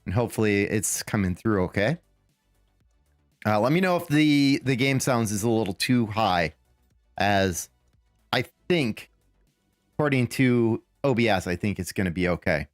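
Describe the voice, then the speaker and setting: Raspy man streaming a game. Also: fairly low pitch, expressive, moderate pace, pauses often, no room sound, clean studio sound, faint music behind. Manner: rambling, thinking aloud with fillers and restarts. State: raspy, recovering from lost voice. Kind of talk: casual live gameplay commentary.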